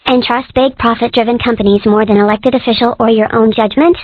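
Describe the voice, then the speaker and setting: A woman's voice: fairly high pitch, steady tone, a little fast, dry recording, close to an everyday voice. A woman voicing an inquisitive little boy animal in a comic dub, pestering in a matter-of-fact way. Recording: dull, dry lo-fi sound.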